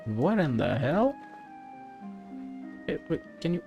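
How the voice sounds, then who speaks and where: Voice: medium pitch, sing-song, takes it slowly, creaky, warm tone, faint music behind. A streamer giving casual gameplay commentary, playful and sweary, mostly muttering to themself. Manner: playful, sweary, talking to self. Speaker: game streamer.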